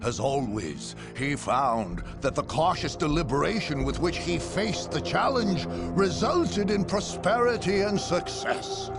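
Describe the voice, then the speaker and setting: An actor playing a grave, stately chronicler of a far-future war, narrating a video game in a measured, formal storytelling manner. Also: male voice, a little high, creaky, full character voice. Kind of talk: dramatic scripted narration for a game.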